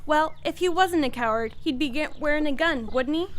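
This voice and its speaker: A woman's voice: sits high, a little fast, slight background noise, close to an everyday voice. A woman acting a folksy, conversational frontier townswoman in an old-time radio Western. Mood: gossipy, concerned.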